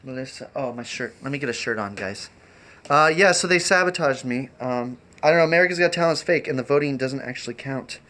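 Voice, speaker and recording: A man chatting off the cuff on a livestream, rambling and halting in an eccentric way. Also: mid-range voice, bright, forceful tone. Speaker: live streamer and variety performer. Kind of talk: improvised livestream chat.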